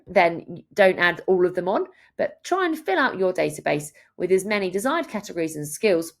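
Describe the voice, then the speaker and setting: Lively woman presenting a software tutorial. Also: on the low side, creaky. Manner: explanatory, step-by-step delivery. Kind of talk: instructional screen-share walkthrough.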